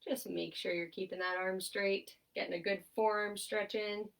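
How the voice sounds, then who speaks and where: A young woman occupational therapist leading a seated exercise class, her voice nasal and stuffed up, giving upbeat cues and steady countdowns. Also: mid-range voice, normal speed, gravelly, distant mic. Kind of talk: instructional exercise cueing with countdowns.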